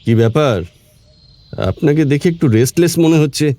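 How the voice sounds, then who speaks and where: An actor playing a calm police officer in a horror audio drama, conversational. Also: male voice, fairly high pitch, natural voice. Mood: calm, informative. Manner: conversational, unhurried storytelling. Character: calm, chatty police officer explaining his findings. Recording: faint music under the voice.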